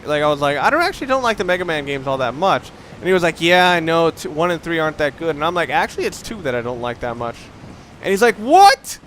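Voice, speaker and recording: A man's voice: a little high, a bit bright, slight background noise. A young man giving casual, rambling gameplay commentary, swearing often and poking fun at himself. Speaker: let's play commentator.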